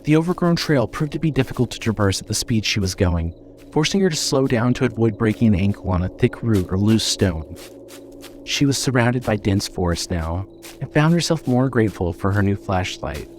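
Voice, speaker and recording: A man's voice: medium pitch, slight background noise. A man reading scripted suspense fiction as a narrator.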